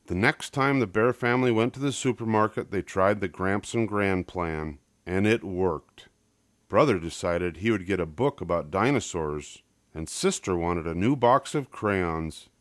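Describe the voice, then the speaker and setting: An older man narrates a children's storybook. His voice sits low, and the recording is dry, with hardly any room around it. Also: a bit bright, no room sound. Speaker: storybook reader.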